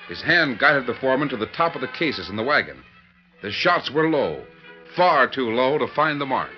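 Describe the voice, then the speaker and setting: A man narrating an old radio western in a dramatic, scripted style. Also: medium pitch. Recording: dull vintage radio recording.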